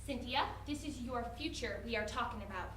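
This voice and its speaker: A woman's voice: on the high side, brisk, natural voice. A woman playing a stern, controlling mother who is scolding and dismissive, in a staged dramatic reading. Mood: accusing. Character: stern, controlling middle-aged mother, scornful of her daughter. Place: live presentation in a room at a college. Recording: distant mic in echoey, slightly noisy room.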